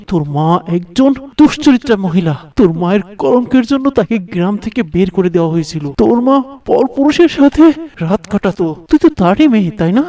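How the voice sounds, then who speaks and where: An actor voicing a distraught young village son, devout and quick to anger, stammering and repeating words in a melodramatic cartoon style. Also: medium pitch, warm tone, far from the mic, close to an everyday voice.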